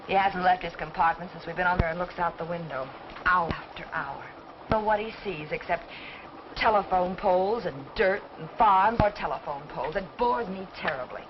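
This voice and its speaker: A woman's voice: lowish voice, moderate pace, natural voice. An American actress playing a bored, stubborn young engaged woman, languid and complaining, in 1940s film style. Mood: bored, haughty. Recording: noisy, dull vintage film soundtrack.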